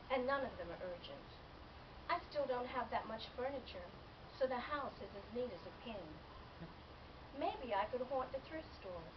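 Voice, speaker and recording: A woman reading a first-person literary story aloud as scripted narration, in a measured, expressive way. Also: a little high. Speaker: author reading own novel excerpt. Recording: dull lo-fi, distant mic, loud background noise.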